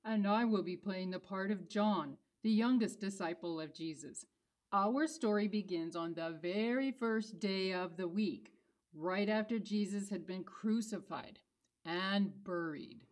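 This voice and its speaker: A woman playing a gentle, hesitant young male puppet disciple, telling a children's story with measured care. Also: mid-range voice, natural voice. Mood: explanatory.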